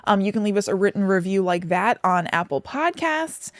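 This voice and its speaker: A woman's voice: medium pitch, very animated, moderate pace, dry recording. A woman co-hosting a podcast in a casual, chatty style. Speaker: podcast co-host.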